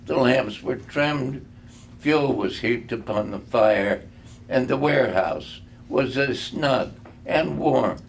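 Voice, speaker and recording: An older man reading a storybook aloud, phrasing it deliberately with pauses. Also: medium pitch, gravelly. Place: live reading in a room. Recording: faint background noise.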